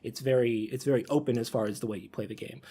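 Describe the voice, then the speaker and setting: A man's voice: medium pitch, fairly even intonation, fast talker, warm tone, husky. A man hosting a casual podcast discussion, rambling and rephrasing as he thinks aloud. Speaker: podcast host.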